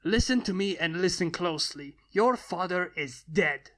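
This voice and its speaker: A woman's voice: on the low side, lively intonation, moderate pace, a bit bright. A woman voicing a stern, blunt male lord who speaks solemnly and commandingly in a fantasy story.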